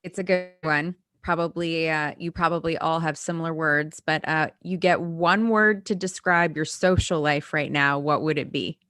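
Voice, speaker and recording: A woman hosts an online panel discussion over a video call. Her voice sits fairly low and sounds dry and close. She reads her welcome in an upbeat, gushing way. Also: steady tone, moderate pace, hardly any pauses, fairly bright tone, dry recording. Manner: upbeat and scripted, with gushing greetings. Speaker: moderator.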